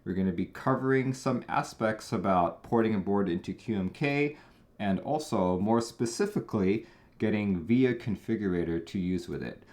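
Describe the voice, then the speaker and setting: A man from Taiwan hosting a casual live stream, talking in a chatty, relaxed way with frequent small restarts. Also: on the low side, normal speed. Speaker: host.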